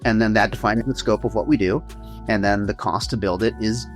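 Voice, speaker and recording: Relaxed man explaining ad strategy. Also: fairly low pitch, a little fast, soft background music. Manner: relaxed, explanatory, uses y'all. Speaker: paid traffic consultant. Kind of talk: casual business conversation.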